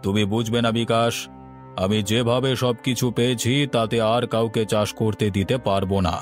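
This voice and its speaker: A man's voice: medium pitch, level tone, moderate pace, faint music behind, close to an everyday voice. A man voicing an arrogant wealthy village landlord in a cartoon story, talking down to poor farmers with scorn and boasting. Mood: scornful. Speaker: voice actor. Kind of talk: Bengali cartoon story acting.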